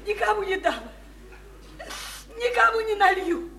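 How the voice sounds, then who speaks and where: A shrill, slow, bitter lonely woman. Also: a bit bright, roomy sound, full character voice. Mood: tearful defiance. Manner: wailing, repetitive, mocking, theatrical. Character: bitter, lonely, childless, hard-drinking woman. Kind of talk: theatrical radio play acting.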